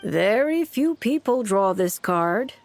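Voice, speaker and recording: A woman's voice: mid-range voice, lively intonation, slow, soft background music. A woman voicing an aloof, weary witch fortune-teller in a video game, speaking in a dry, formal and cryptic way. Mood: mystical, musing.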